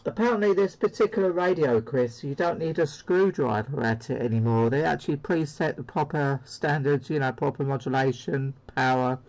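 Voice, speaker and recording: A man's voice: medium pitch, normal speed, few pauses, darkish tone, a little hiss or hum. A man talks casually and without a script, as a hobbyist showing gear. He hesitates and rambles as he goes. The recording is slightly dull and lo-fi. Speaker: CB radio hobbyist presenting a demo. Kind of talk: casual unscripted product demo. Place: indoor radio room.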